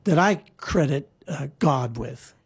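Husky man telling stories, rambling. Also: fairly high pitch, animated, slow pace. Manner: rambling, with frequent fillers and restarts. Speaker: guest storyteller. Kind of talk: casual conversational storytelling. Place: lives in Hawaii.

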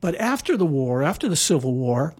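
A man's voice: on the high side, very animated, a little fast, husky. A man telling historical stories in a casual conversation, rambling with frequent fillers and restarts. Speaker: guest storyteller. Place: lives in Hawaii.

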